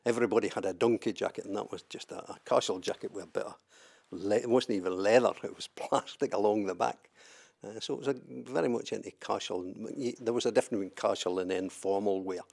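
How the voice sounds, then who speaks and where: Gravelly older Scottish man, low voice. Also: quick pace, darkish tone. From southwest Scotland. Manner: hesitant, many fillers and repeated words. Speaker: interviewee, trade unionist. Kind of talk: oral history interview.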